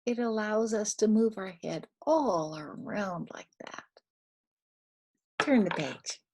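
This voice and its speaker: An older woman reading a picture book to very young children. Her voice is husky and breathy, swinging widely in pitch, and she takes her time. She talks simply, putting questions and small prompts to the child. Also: lively intonation, slow, darkish tone. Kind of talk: interactive picture-book read-aloud for toddlers.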